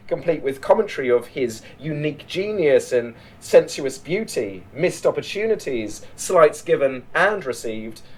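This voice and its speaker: A man's voice: a little high, poor audio. Man reading a literary short story aloud. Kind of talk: scripted story narration.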